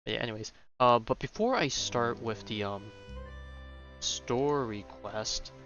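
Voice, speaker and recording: A man's voice: mid-range voice, unhurried, fairly bright tone, dull audio. A man doing casual livestream gaming commentary, thinking aloud in a hesitant, rambling way. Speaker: game streamer. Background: game music.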